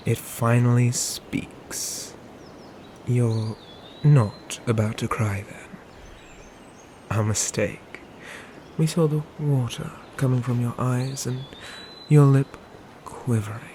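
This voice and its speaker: A man playing a haughty, sadistic medieval knight in a dark fantasy ASMR roleplay, with formal, sneering, hushed delivery. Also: medium pitch, steady tone, background noise. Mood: mocking. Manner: slow, sneering, formal speech, hushed at phrase ends.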